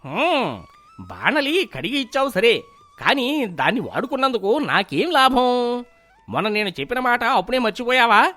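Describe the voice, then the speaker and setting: A man playing a greedy, middle-aged village landlord in an animated moral story, wheedling and demanding with drawn-out questions. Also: very high voice, soft background music, heavily acted. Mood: grasping, demanding.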